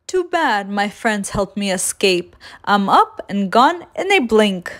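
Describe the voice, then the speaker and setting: A woman's voice: mid-range voice, very animated, slow pace, fairly bright tone. A woman voicing a cheeky, boastful little gingerbread man in a children's storybook, playful and taunting in sing-song rhyme. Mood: gleeful boasting. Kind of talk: children's storybook read-aloud.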